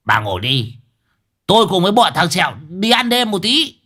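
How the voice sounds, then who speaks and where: A man's voice: high voice, very animated, normal speed, pushed and bright, pitched above the performer's own voice, heavily acted. A man voicing a carefree old man who brushes off his wife. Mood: dismissive.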